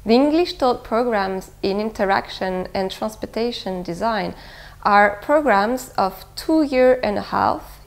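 Woman presenting a school programme. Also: a little high. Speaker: head of international affairs at a design school. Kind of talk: promotional interview to camera. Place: indoor room with bookshelves. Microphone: lapel mic.

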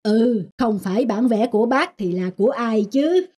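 Woman as a highish-voiced older mentor bird. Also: expressive, normal speed, dark tone, husky. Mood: instructive. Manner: encouraging, instructive. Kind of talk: cartoon voice acting. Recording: music behind the voice.

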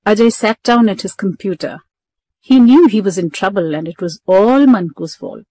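Female narrator for a children's story: medium pitch, scripted read, close and dry. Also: moderate pace, dark tone, no echo, rough recording.

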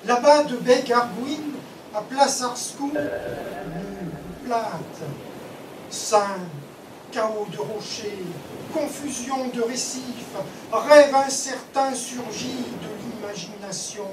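A woman solemnly declaiming epic poetry in measured, incantatory short phrases. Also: fairly high pitch. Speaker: poetry reciter. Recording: echoey, distant microphone, clear background noise.

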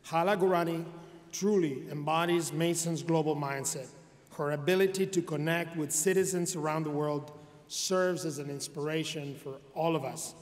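A middle-aged man introduces a guest speaker at a university commencement, reading formally from a podium mic. His voice sits slightly high for a man, and his speech stops and starts with fillers. The long reverb of a large arena trails every phrase. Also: steady tone. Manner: formal, halting with frequent fillers. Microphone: podium microphone.

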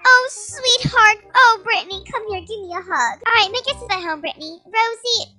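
Woman playing a piercingly high, chirpy mom. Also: moderate pace, pushed and bright, heavily acted. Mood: coaxing. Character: chirpy mother, sweet but firm. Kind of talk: amateur gaming roleplay acting. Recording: background music under the voice.

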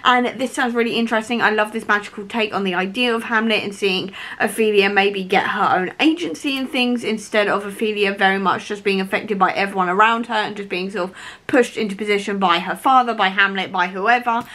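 A young woman in her twenties chatting to camera about upcoming books, her voice a little rough because she is ill and losing it, with lots of "really, really". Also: mid-range voice, fairly quick, a bit bright. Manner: chatty, repeats "really, really" for emphasis. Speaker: book vlogger. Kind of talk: casual book chat to camera. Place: small room, seated on a sofa.

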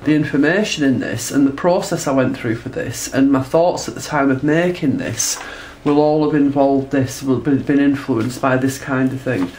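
A woman with a stuffed-up nose talks through a hands-on art demonstration at a table. Her delivery is chatty and unhurried, tossing in little tag questions as she sketches. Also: very deep voice, darkish tone, a little hiss or hum, poor audio. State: congested from a cold. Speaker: textile artist demonstrating her process. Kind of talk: casual tutorial narration while drawing. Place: indoors at a table.

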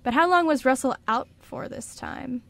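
A woman's voice: sits high, normal speed, gravelly, fairly bright tone. A woman reading scripted narration for a radio drama.

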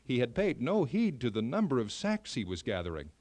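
A man narrating a children's fairy tale in an expressive, measured storytelling style. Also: medium pitch, no room sound.

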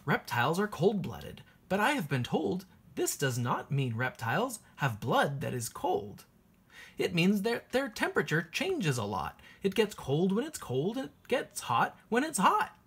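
A woman teacher reading a children's picture book aloud in an expressive, sing-song way, with a husky voice. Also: on the low side, unhurried.

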